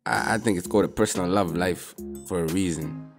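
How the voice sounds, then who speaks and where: Young rapper, low-pitched and easygoing, talking straight to camera over soft music. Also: male voice, fairly quick. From Zimbabwe. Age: young adult. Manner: relaxed, laid-back, drops in "man" often. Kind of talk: casual talk to camera answering questions.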